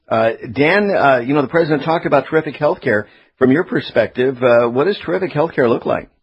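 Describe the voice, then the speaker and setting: Middle aged guy doing talk radio, kinda raspy, opinionated and halting, slightly muffled broadcast sound. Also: medium pitch, expressive. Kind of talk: conversational live talk radio commentary and interviewing. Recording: dull, lo-fi radio broadcast audio.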